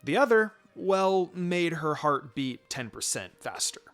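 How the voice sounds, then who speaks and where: A man's voice: fairly high pitch, very animated, measured pace, fairly bright tone. A man narrating a fan fiction story, reading scripted prose aloud. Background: music.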